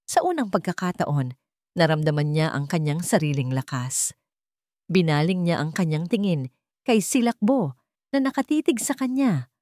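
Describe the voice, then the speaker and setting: A woman's voice: lowish voice, animated, normal speed, dark tone, dry recording, studio quality. A woman reading a scripted, dramatic romance story as a storyteller.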